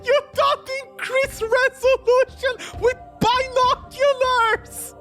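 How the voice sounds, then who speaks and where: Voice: very high-pitched, little pitch movement, takes it slowly, pushed and bright. A game streamer reading a visual novel aloud and reacting to it in an exasperated, incredulous, theatrical way. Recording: background music under the voice. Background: music.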